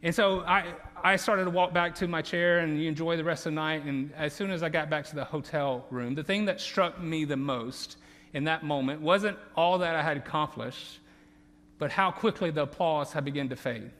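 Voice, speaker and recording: Southern pastor in an echoing church hall. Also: male voice, on the high side, moderate pace, fairly bright tone. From Louisiana. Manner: conversational, earnest, many fillers and repeats. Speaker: campus pastor. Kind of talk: sermon. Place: large church auditorium. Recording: long reverb of a large church hall.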